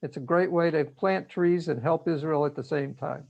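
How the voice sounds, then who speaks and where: A man's voice: fairly high pitch, normal speed, vocal fry, lo-fi sound. A man playing a personified holiday in an amateur reader's theater, delivering corny comic patter on a video call. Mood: earnest. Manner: corny question-and-answer joke delivery.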